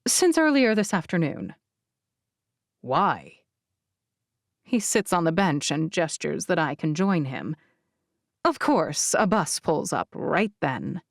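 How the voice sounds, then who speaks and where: A woman narrating a novel in the first person as an audiobook reader, with expressive, deliberate phrasing. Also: deep voice, vocal fry, no room sound, studio quality.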